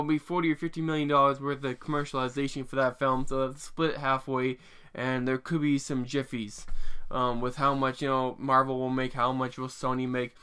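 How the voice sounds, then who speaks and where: A man's voice: fairly high pitch, bright, forceful tone, clear, resonant tone. A man in his twenties rambling through an opinion vlog, with lots of restarts and fillers and some casual swearing. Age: 20s. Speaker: vlogger.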